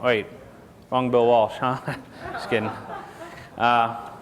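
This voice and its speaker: A man's voice: medium pitch, flat delivery, takes it slowly. A middle-aged man from Texas with a Texan accent, giving a jokey seminar talk on stage and asking the crowd questions. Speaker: conference speaker. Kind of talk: joking seminar talk to an audience. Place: large conference hall stage. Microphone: lapel mic. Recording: echoey hall with long reverb.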